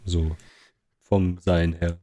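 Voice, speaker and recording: Low, mellow young man. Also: little pitch movement, very slow. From Austria. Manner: wry, mixes in English words. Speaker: podcast co-host. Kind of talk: casual chat.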